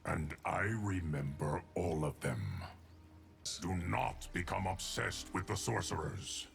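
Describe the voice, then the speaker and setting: A very deep, solemn immortal. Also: male voice, faint music behind, heavily acted. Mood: solemn, impassive. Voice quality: deep, gravelly. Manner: measured, formal, cryptic. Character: solemn, ageless immortal keeper of time, dispassionate. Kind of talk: video game acting.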